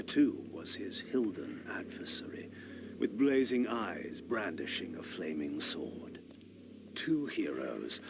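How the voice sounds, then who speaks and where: A proud, brooding vampire lord of great age, speaks in a deep voice with formal, measured theatrical phrasing. It is dark fantasy game drama, with clear background noise under the voice. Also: male voice, exaggerated voice. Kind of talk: dramatic scripted narration and dialogue for a video game.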